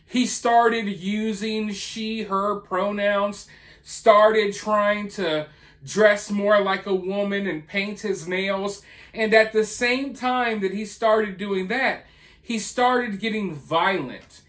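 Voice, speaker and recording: An opinionated host giving true crime commentary with emphatic delivery and dramatic pauses. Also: medium pitch, fairly bright tone. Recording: roomy, echoing voice.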